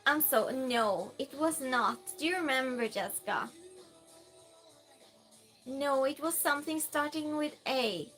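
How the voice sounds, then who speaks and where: Slow, high-voiced woman with a Norwegian accent. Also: expressive, a bit bright, soft background music. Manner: friendly, chatty, many fillers and restarts. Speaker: live streamer. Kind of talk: casual live-stream chat, reading viewer messages aloud.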